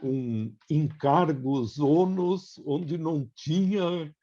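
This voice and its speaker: A man's voice: a little high, extremely slow, mellow. A man with a Brazilian accent putting questions in a casual group discussion, heard over dull, lo-fi audio. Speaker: participant asking questions.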